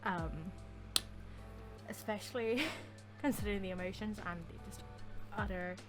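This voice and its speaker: A woman gives off-the-cuff gaming commentary in a mid-range voice. She speaks informally and reacts to what is on screen. Music plays clearly behind her. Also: medium pitch, unhurried. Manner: informal, reacts aloud to the game. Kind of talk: casual let's-play commentary. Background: background music.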